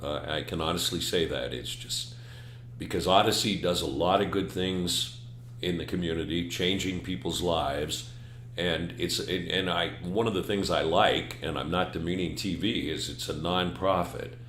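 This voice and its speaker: An older man who is a retired newsman, co-hosting a podcast in an easygoing manner, his voice deepened by a chest cold. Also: moderate pace, fairly bright tone, echoey room, slight background noise. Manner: easygoing, self-deprecating. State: chest cold, hoarse deep voice.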